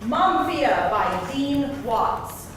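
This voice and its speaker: A woman's voice: high-pitched, expressive, very slow. A woman reading stage directions as scripted narration at a live screenplay reading. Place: live event room before an audience. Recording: very echoey room, faint noise.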